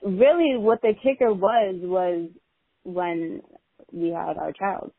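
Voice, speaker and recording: A woman with a lowish voice talks as a podcast guest, reflective and pausing to restart her thoughts. The sound is thin and phone-like. The room is dry. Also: animated, breathy edge, no room sound. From Nigeria (family), raised in America. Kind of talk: casual chat.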